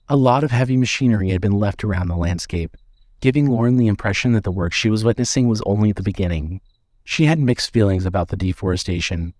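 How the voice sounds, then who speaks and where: A man's voice: on the low side, a little mellow, dry recording. A man reading scripted suspense fiction as a narrator.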